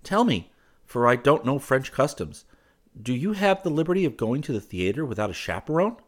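An eager young gentleman, as a male narrator puts him on, speaking in a raised voice with lively swings. He is courteous and earnest to the point of naivety, like a well-bred visitor in a period romance read aloud. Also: mid-range voice, normal speed, pitched above the performer's own voice, close to an everyday voice. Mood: cheerful. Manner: courteous, earnest, stammering when flustered.

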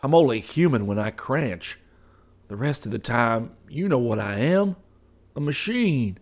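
A man's voice: medium pitch, expressive, a little slow, pitched above the performer's own voice. A man voicing a weary, sorrowful husband who pleads with obstinate sadness. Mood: bitter anguish. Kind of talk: audiobook character voice.

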